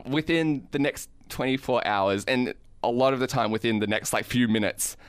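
Smooth-voiced man in casual comedic podcast chat. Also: mid-range voice, normal speed, no room sound. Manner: joking, rambling storytelling. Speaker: podcast co-host.

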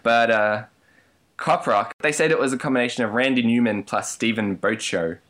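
A man's voice: medium pitch, normal speed, a bit bright. A man chatting on a podcast, wandering through his thoughts with plenty of hesitations. His humour is dry and understated, and the talk stays loose and casual throughout. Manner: rambling, hesitant, dry jokey. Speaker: podcast co-host. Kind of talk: casual chat.

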